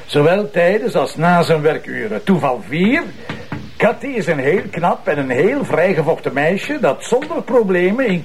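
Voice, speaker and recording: A Flemish police commissioner in a TV crime drama. His fairly high, very animated voice is bossy and teasing, like a schoolmaster running a class. There is faint noise on the recording. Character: bossy, sardonic male police commissioner leading a briefing.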